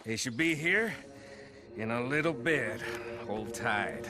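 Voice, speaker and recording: An actor playing a cocky, reckless outlaw in a video game, with a smug, taunting, chuckling manner. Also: male voice, mid-range voice, expressive, slow, a little hiss or hum. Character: cocky, needling male outlaw, reckless troublemaker. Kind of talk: video game cutscene acting. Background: loud game score.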